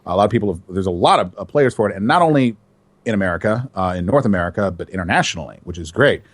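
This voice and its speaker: A man who works as a voice actor answers interview questions in a chatty, animated way and laughs easily. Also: low-pitched, very fast, no echo. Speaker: voice actor, guest.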